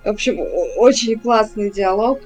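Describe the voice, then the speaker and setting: A woman's voice: medium pitch, moderate pace, darkish tone, faint music behind, rough recording. A woman running a tabletop game, giving casual, hesitant feedback over an online voice chat and often repeating words as she talks. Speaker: game master.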